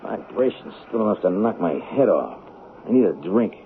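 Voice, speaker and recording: An American actor playing an edgy, bullying small-time crook in debt, in a 1970s radio drama style. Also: male voice, lowish voice, very animated, moderate pace, gravelly. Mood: bitter, edgy. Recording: tinny, noisy 1970s radio recording.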